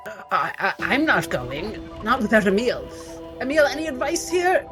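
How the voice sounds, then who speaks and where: A woman's voice: on the high side, very animated, normal speed, voice pushed up. A woman voicing a flustered, stubborn doctor with psychic powers in a tabletop game, stammering and pleading. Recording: music bed under the voice.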